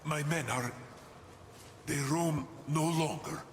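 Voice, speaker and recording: An actor playing a ghostly sea captain in a video game, proud yet mournful, speaking slowly and in a grand theatrical way. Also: male voice, on the high side, slight background noise. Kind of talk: video game cinematic acting. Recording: long cavernous reverb, faint ambience.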